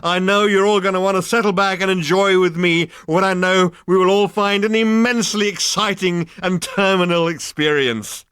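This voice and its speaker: A man voicing a beaming, showy compere who addresses an audience in a loud, gushing manner. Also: sits high, moderate pace, few pauses, smooth, no room sound, studio quality, pitched above the performer's own voice. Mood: gushing showman. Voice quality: loud, gushing showman delivery.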